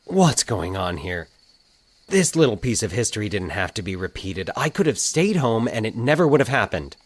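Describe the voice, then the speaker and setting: A man playing an uneasy grown man talking to himself in an audio story, in a halting, awed mutter. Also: on the low side, big pitch swings. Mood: frustrated, bewildered. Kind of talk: dramatized audio fiction dialogue.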